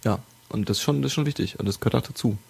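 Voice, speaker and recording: A man's voice: medium pitch, very fast, vocal fry, darkish tone, husky. A man from Bavaria talking in a casual chat, rambling with many restarts and fillers.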